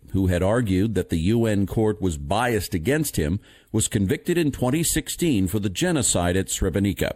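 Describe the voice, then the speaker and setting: A man reading scripted news stories in a measured, formal manner. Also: fairly low pitch, a little mellow, dry recording. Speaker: news reader.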